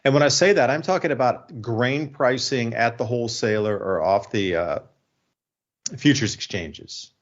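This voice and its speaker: A man's voice: mid-range voice, normal speed. Male host, mid-range voice, informal talk-radio commentary, somewhat lo-fi sound.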